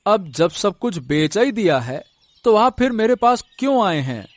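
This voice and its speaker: A man's voice: high voice, big pitch swings, unhurried, no room sound. A man voicing a gullible village farmer in a cartoon story, with a bewildered, rustic and at times blustering manner. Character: gullible, simple-minded village farmer father.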